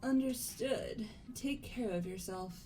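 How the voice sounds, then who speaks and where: Brisk, earnest schoolgirl, voiced by a woman, higher register, direct game-character delivery over soft music. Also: rough recording. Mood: serious. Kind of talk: amateur game voice acting.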